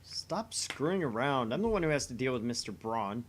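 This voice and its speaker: A man's voice: mid-range voice, big pitch swings, moderate pace, a little hiss or hum, close to an everyday voice. A man voicing a theatrical, grumbling young mad scientist, sardonic and dramatic, in a visual novel read-through.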